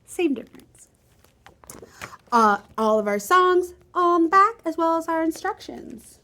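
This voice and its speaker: A woman's voice: sits high, fairly bright tone. A woman hosting a children's storytime, reading a picture book expressively and chatting directly to her young listeners. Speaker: library storytime host and reader.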